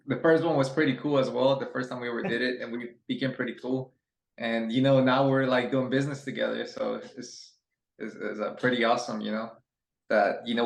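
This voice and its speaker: A man's voice: mid-range voice, creaky, echoey room, poor audio. A young adult man from Ecuador, a guest chatting casually and rambling with plenty of fillers and restarts. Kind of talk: casual interview chat.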